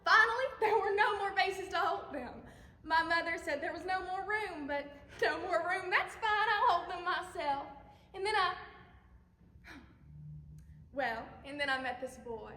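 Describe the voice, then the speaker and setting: A woman's voice: very high-pitched, pushed and bright, heavily acted. A woman performing a nostalgic, girlish Southern belle mother in an effusive, theatrical stage monologue. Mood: wistful. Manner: effusive, dramatic, drawn-out words. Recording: echoey hall, long reverb.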